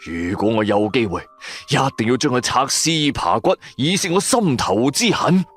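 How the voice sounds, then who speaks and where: A man's voice: mid-range voice, big pitch swings, faint music behind. A man narrating a first-person horror radio drama in a suspenseful, emphatic style. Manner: suspenseful, emphatic, drawn-out stresses.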